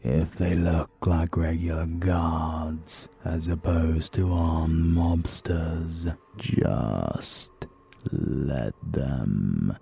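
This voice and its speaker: A British man voicing a calm, menacing supernatural killer in a formal, unhurried, coldly confident manner for an audiobook. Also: very deep voice, creaky, slightly rough, full character voice.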